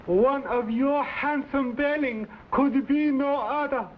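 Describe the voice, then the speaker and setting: Sly, obsequious innkeeper, stage Chinese accent, unhurried, thin vintage broadcast sound. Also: male voice, very high-pitched. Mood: fawning welcome. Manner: obsequious, stilted broken English. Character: fawning, sly Chinese innkeeper, exaggeratedly humble poisoner. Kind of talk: 1950s television adventure serial acting. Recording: thin phone-like old broadcast, clearly noisy.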